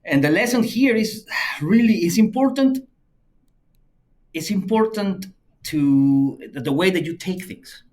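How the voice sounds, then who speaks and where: A middle-aged man from Peru with a Peruvian Spanish accent, a native Spanish speaker, telling personal stories as a podcast guest. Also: unhurried. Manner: lively anecdotal telling, acts out quoted friends in a higher voice. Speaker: podcast guest, company CEO. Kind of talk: conversational storytelling interview.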